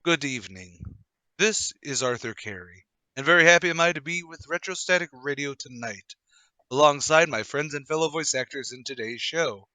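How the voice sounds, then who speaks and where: A man narrates and hosts a radio drama read-through like a showy announcer. His voice is bright and forceful, swinging widely in pitch, with a dry, close sound. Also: expressive, normal speed, no echo. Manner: theatrical, old-time announcer showmanship. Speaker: host and narrator of an audio drama show.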